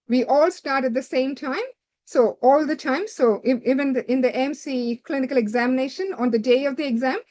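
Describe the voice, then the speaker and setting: A woman with a somewhat high voice lectures doctors preparing for a licensing exam. She talks directly and instructively, linking one point to the next with "so" and repeating her warnings. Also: a little mellow. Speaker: medical exam tutor.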